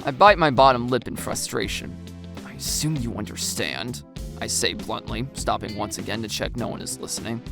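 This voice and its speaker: A woman's voice: rumbling low, brisk, vocal fry, hardly any pauses, over music. A woman reading a scripted first-person story as its narrator.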